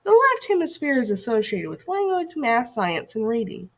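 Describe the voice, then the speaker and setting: A woman's voice: fairly high pitch, sing-song, normal speed. A woman reading a scripted educational lesson aloud to children as a teacher, putting questions and directions to young listeners.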